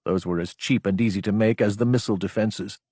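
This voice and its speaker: Husky male audiobook narrator, dry close sound. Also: fairly low pitch, steady tone, fast, warm tone, no echo. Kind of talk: scripted dramatic audiobook narration.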